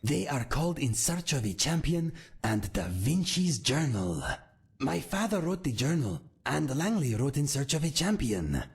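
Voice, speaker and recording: A raspy cat-folk swordsman. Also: a little high, sing-song, full character voice. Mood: earnest. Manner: measured, formal and warm-hearted. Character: calm male cat-folk swordsman, earnest and loyal. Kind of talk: game character dialogue.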